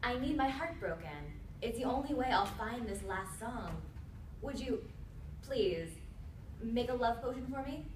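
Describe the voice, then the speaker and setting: A woman plays an earnest, stubborn young servant in a radio play. Her voice is fairly high, heard a little away from the mic in an echoey room with faint noise. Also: expressive, measured pace, distant mic. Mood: earnest pleading. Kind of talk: staged radio theatre dialogue. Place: indoor convention performance before microphones.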